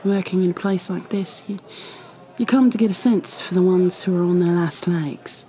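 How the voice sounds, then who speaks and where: A woman playing a kindly, chatty tavern keeper in an ASMR roleplay, rambling gently with fillers and slipping into whispers. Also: lowish voice, normal speed, lightly acted. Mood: gossipy.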